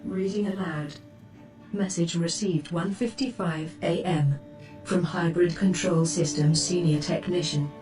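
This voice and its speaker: A woman's voice: on the low side, fairly even intonation, unhurried, roomy sound, recorded from a distance, music in the background, close to an everyday voice, low-quality recording. A woman voicing a female ship computer that makes formal, clipped system announcements.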